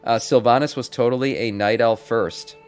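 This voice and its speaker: A man's voice: medium pitch, steady tone, moderate pace, smooth. A middle-aged man hosting a gaming news stream, casually reading out updates with informal, mildly sweary asides to viewers. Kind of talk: casual livestream commentary with some reading aloud. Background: background music.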